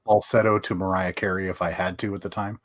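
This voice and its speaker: A man's voice: low-pitched, flat delivery, fast, fairly bright tone, no echo, studio quality. A man chatting bluntly and crudely on a casual late-night stream, laughing at his own stories. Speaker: stream co-host.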